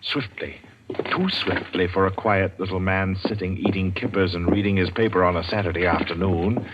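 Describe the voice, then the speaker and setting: Low-pitched man narrating vintage radio suspense. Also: steady tone, background noise. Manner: dramatic, ominous, measured with suspenseful pauses. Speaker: announcer and narrator. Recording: muffled vintage radio broadcast. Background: loud dramatic orchestral music.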